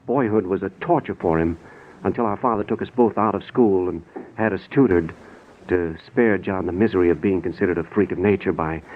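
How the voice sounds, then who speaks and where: A man's voice: deep voice, brisk, lightly acted. Actor doing urgent middle-aged guy, old 1940s radio drama, tinny hissy recording, theatrical and earnest. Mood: earnest, brooding. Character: frantic, earnest 45-year-old man pleading to be believed. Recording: scratchy narrow-band 1940s radio recording.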